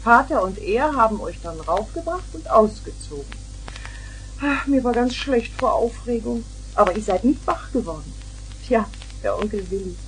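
A woman's voice: a little high, animated, normal speed, natural voice, low-quality recording. An actress playing a caring mother explaining things to her children in a radio play. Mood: motherly. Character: caring mother talking to her young daughters. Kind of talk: 1970s German children's radio play acting. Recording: 1970s vinyl record, hissy.

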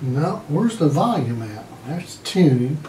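A roomy, noisy recording picks up an older man at some distance from the camera. He talks slowly and casually in short fragments, thinking aloud as he handles things at a table. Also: fairly high pitch, big pitch swings, warm tone. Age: older, around 60s. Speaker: hobbyist demonstrator testing old electronics. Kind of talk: casual, muttered hands-on demonstration. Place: indoor room at home, seated at a wooden table. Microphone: camera mic a few feet away.

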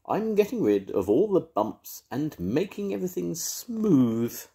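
An older man voicing a showy, smooth-talking male inventor dog in a storybook reading. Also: a little high, sing-song, a little slow, warm tone, voice pushed up, exaggerated voice. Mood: proud. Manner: suave, grand, pompous. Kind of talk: storybook read-aloud.

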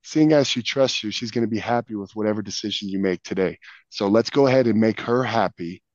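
Hoarse low-voiced man, dull dry line. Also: brisk, a little mellow, dry recording. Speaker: sales trainee. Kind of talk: sales role-play. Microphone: video call.

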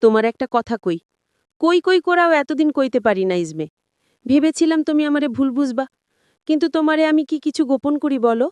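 A woman's voice: high-pitched, expressive, moderate pace, darkish tone, no room sound. A woman playing a sly, self-pitying husband in an audio story, persuading in colloquial rural Bengali speech. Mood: coaxing.

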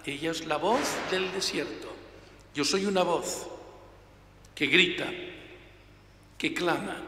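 Older priest preaching in an echoing church. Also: male voice, a little high, creaky. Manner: emphatic, gestures with hands, varies loudness for emphasis. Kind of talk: reading the Gospel and preaching a homily. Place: large church, at a lectern. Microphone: gooseneck lectern mic. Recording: reverberant church hall, faint room noise.

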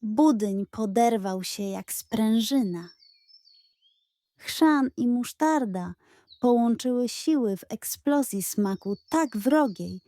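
A woman narrating a children's story in an expressive, scripted storytelling style. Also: fairly high pitch, dry recording.

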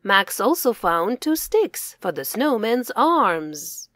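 A woman's voice: medium pitch, very animated, slow, a bit bright, no room sound, clean studio sound. A woman reading scripted children's storybook narration as its author, enunciating carefully for English learners.

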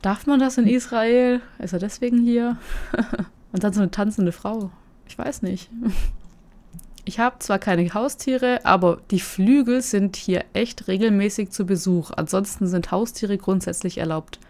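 A woman in a relaxed commentary chat, reading out online listings and musing over them. Her voice has a gravelly, fry-tinged texture and sits at a moderate pitch. She keeps a casual, questioning manner, often turning to her co-host. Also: a little slow.

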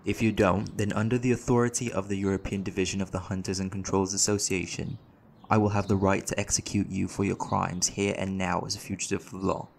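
A man's voice: low voice, little pitch movement, fast talker, gravelly, slight background noise. A man playing a young student doctor with a secret life as a lawman, in an audio roleplay. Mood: stern, commanding. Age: young adult.

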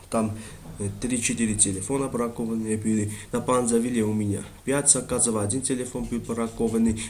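A man with a non-native Russian accent who talks haltingly, full of fillers and restarts, doing a screen-recorded shopping review. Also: medium pitch, a little mellow, a little hiss or hum. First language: not Russian.

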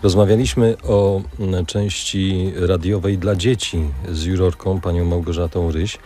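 A man's voice: low voice, steady tone, a little slow, warm tone. A man interviewing guests in conversational speech in a radio studio.

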